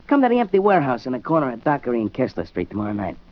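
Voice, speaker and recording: A man's voice: medium pitch, huge pitch swings, very fast. An actor playing a cocky teenage boy who leads a street gang, talking tough slang in 1940s radio style. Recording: dull 1940s radio recording.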